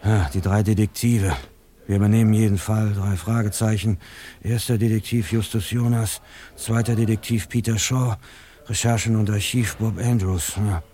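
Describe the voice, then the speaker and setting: A confident young lead detective, voiced by a man introduces his team in a radio play. He speaks briskly and self-assuredly in a somewhat low voice. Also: fairly even intonation, warm tone, natural voice.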